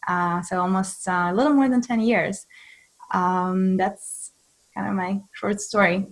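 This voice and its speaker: A woman's voice: mid-range voice, steady tone, a little slow. A woman from Russia's Far East with a Russian accent, a mindfulness coach answering webinar questions in a direct, practical, instructive way. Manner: direct, practical advice-giving.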